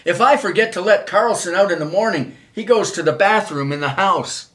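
An older man reads a children's storybook aloud in an expressive, measured way. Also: high-pitched. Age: older, 70s. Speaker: children's author reading own book. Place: small wood-panelled room, indoors.

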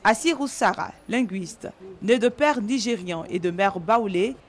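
A woman narrates a television news report in a measured, clear voice-over. Her pitch sits mid-range, and she reads the script steadily.

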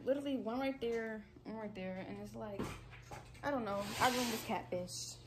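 A woman's voice: a little high, moderate pace, background noise. A teenage girl filming a casual vlog on her phone at arm's length, chatting to viewers and calling them y'all. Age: teens. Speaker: vlogger. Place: hotel room and beach, phone selfie.

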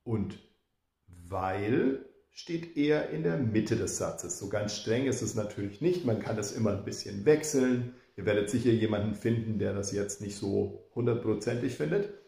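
A man in his fifties giving a German grammar lesson to the camera, explaining slowly and repeating example sentences. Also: fairly low pitch, expressive, creaky, dark tone, reverberant. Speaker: German teacher. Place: indoor room with plants behind. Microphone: camera in front, head and shoulders.

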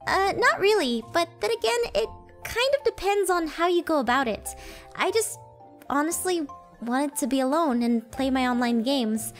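A woman voicing a shy, excitable high school freshman girl with a girlish, giggly, hesitant delivery in an animated roleplay. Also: normal speed, soft background music. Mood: awkward, casual.

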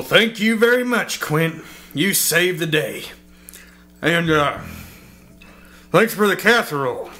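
An actor playing a folksy, polite, hesitant client in a pulp radio drama. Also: male voice, sits high, pushed and bright, full character voice.

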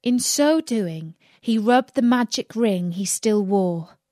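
Female audiobook narrator for fairy tales: slow, careful phrasing, dry close sound. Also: medium pitch, lively intonation, dark tone, no echo. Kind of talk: scripted fairy-tale narration.

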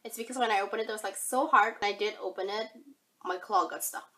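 A young woman from Indonesia, husky from a cold, chatting casually through a product review in her bedroom. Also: mid-range voice, quick pace, pushed and bright. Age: young adult. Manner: chatty, rambling, upbeat. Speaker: beauty vlogger. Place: bedroom, indoors. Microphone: camera facing her at arm's length.

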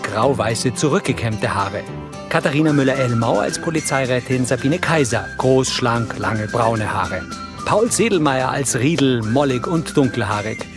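A man reading scripted scene descriptions for a TV crime series in a measured, matter-of-fact way. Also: medium pitch. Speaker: audio description narrator.

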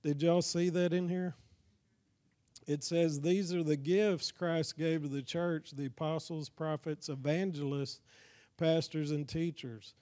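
Mellow-voiced Texan preacher, slightly high. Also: male voice, fairly even intonation, measured pace. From Texas, United States. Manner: plain-spoken, folksy, seeks agreement from listeners. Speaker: evangelist, guest preacher. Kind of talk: evangelism training sermon from a pulpit. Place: church, speaking to a congregation.

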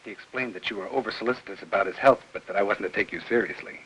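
A businesslike notary in an old 1950s film. He talks fast in a rough-edged, forceful voice. His manner is polite, formal and matter-of-fact. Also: lowish voice, rough recording. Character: brisk, polite businesslike notary paying a call.

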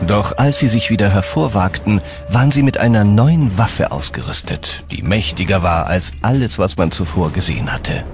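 A man reading scripted documentary narration in a measured, serious way. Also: on the low side, animated. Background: background music.

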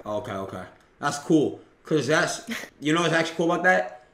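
A young man hosting a casual livestream, loud, joking and swearing a lot. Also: on the high side, sing-song, normal speed. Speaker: streamer host. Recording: echoey room with crowd noise.

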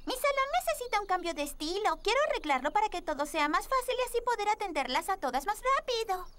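A woman's voice: very high-pitched, very animated, fast, fairly bright tone, slight background noise, exaggerated voice. An actress voicing an upbeat, enterprising young salon-owner girl in a children's cartoon dub, with a perky, theatrical way of presenting. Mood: determined. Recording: music bed under the dialogue.

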